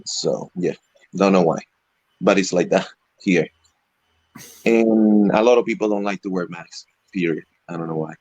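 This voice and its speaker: Low-voiced Venezuelan-raised man, halting delivery. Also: a little mellow, no echo, soft background music. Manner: halting, frequent "you know" fillers. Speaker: interview guest, electric skateboard rider. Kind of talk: casual video call interview.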